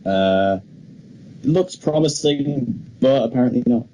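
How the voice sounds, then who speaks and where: A man's voice: mid-range voice, animated, takes it slowly, warm tone, slight background noise, low-quality recording. A young man co-hosting a podcast, talking casually and chattily about films with firm opinions. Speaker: podcast co-host. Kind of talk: casual film discussion.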